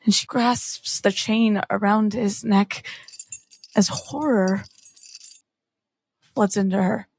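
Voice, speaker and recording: A woman playing a tabletop game, narrating her character in the third person with intense, halting, dramatic delivery. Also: mid-range voice, fairly even intonation, dry recording. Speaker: tabletop player.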